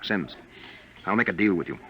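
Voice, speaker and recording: An actor playing a tense, protective American husband on the run, guarded and earnest, in a 1950s radio drama. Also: male voice, sits low, lively intonation, normal speed, highs cut off. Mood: grim, earnest. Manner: guarded, earnest, hesitant with strangers. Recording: old radio recording, background chatter.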